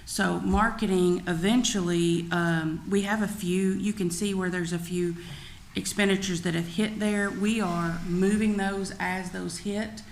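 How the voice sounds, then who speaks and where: A woman's voice: mid-range voice, steady tone, measured pace. A woman presenting an informal report as a finance officer at a public meeting. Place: indoor public meeting room. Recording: echoey meeting room, faint background noise.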